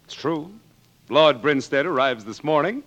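Gloating snob, lively swooping intonation, slightly high voice, haughty, old radio play. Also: male voice, a little slow, lo-fi sound. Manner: haughty, taunting. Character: smug, snobbish, gloating man of social standing. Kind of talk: 1940s radio acting.

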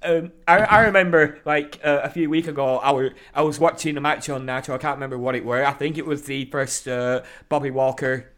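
Bright-voiced sweary man. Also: mid-range voice, fairly quick, few pauses. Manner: sweary, animated, rambling. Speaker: podcast co-host. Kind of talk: casual chat.

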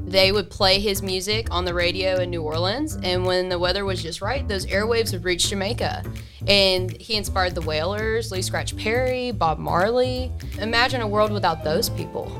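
A woman's voice: mid-range voice, moderate pace. A woman talking as a tour guide and music historian, explaining things conversationally in an interview.

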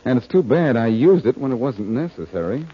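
An actor playing a wry, confident amateur detective in a 1940s radio drama, self-assured and quick with a wisecrack. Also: male voice, medium pitch, very animated, breathy edge. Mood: serious, confiding. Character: wry, confident amateur sleuth, adult man. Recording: dull, noisy vintage radio recording.

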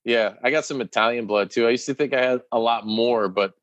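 A man's voice: mid-range voice, brisk, fairly bright tone, no room sound, dull audio. A man co-hosting a podcast, laid-back and teasing, swearing casually in chat. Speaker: podcast co-host.

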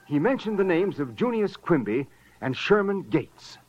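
Muffled old radio drama, wealthy earnest gentleman, slightly high voice, polite, formal, precise. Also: very animated, normal speed. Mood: anxious, earnest. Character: earnest, formal, self-important rich male fiancé. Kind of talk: 1940s radio drama acting. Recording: dull, faintly noisy old radio recording.

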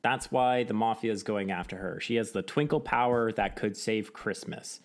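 A man's voice: on the low side, normal speed. A man co-hosting a casual film review podcast, recapping the plot with frequent laughter. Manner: laughs often, animated recapping. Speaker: podcast co-host.

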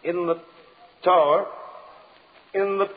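An actor playing the summoned soul of a sleeping magician, defiant and trance-like in 1940s radio horror style. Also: male voice, high voice, steady tone. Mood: strained, defiant. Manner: drawn-out, trance-like, halting delivery. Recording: tinny 1940s radio recording.

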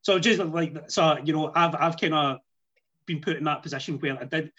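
A man's voice: a little high, fairly even intonation, moderate pace, sharp tone, muffled recording. A Scottish man hosting a chat show, telling stories with self-mocking humor. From Scotland. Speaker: podcast host.

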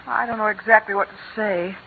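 A woman's voice: medium pitch, gravelly. An actress playing a worried, strained stepmother with an earnest, pleading manner, in 1940s radio acting. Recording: tinny old radio broadcast with faint hiss.

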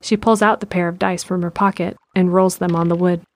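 A woman narrating an audio drama in a calm, measured way. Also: fairly low pitch.

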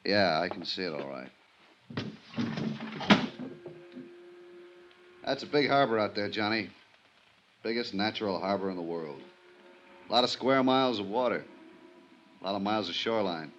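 A man acting a weary, level-headed American police inspector in a 1950s radio drama, measured and reflective. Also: lowish voice, lively intonation, a bit bright, muffled recording. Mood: wistful, weary. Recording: noisy 1950s radio recording.

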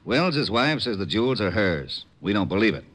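An actor playing a weary, exasperated American homicide lieutenant in an old radio drama, talking plainly in clipped, grumbling phrases. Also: male voice, on the low side, big pitch swings, normal speed, a bit bright. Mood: frustrated. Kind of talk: 1950s radio detective drama acting.

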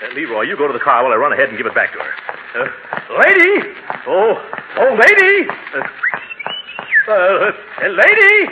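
A man's voice: high voice, huge pitch swings, moderate pace, long pauses. An actor playing a pompous, flustered middle-aged uncle in 1940s radio comedy style, blustering his way along. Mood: hailing, breathless. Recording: scratchy, narrow-band old radio recording.

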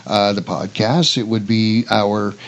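A man's voice: lowish voice, steady tone, unhurried, vocal fry. A man co-hosting a casual radio chat show in a folksy, jokey way.

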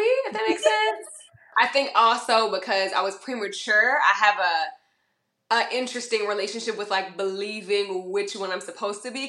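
A bubbly woman from Georgia chats as a podcast guest, animated and playful, slipping into little voices as she tells stories. Her voice is bright and crisp, and the sound bounces around an echoey room. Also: medium pitch. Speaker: guest, model and musician. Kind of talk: casual interview chat.

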